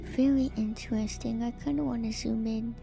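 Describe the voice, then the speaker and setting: Woman, soft and whispery, fairly high pitch, creaky edges, hesitant musing, ASMR game commentary, music behind. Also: fairly even intonation. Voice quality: soft, breathy, often whispered. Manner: hesitant, musing, pausing often to think. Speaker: streamer, virtual YouTuber host. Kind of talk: ASMR lore commentary on a horror video game.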